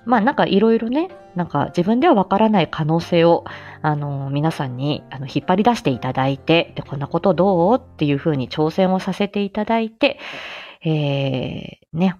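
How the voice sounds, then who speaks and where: A woman's voice: fairly low pitch, darkish tone, highs cut off. A woman hosting a casual solo live stream, talking hesitantly and self-deprecatingly as she gropes for words. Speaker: host of a personal audio live stream. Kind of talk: casual solo chat to listeners.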